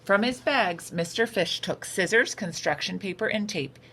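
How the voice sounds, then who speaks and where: A woman reading a picture book aloud for children in an expressive, unhurried storytelling manner. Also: medium pitch. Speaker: storytime reader. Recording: noticeable background noise.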